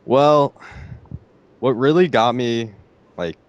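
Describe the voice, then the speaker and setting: A teenage boy with a deep voice, answering interview questions hesitantly and filling pauses with "like" and "I don't know.". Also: highs cut off. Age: teens. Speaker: interviewee, gamer.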